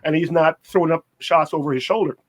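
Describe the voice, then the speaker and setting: A man's voice: a little high, quick pace, slight background noise. A middle-aged man co-hosting, giving conversational advice and repeating phrases for emphasis. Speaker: co-host, basketball mentor.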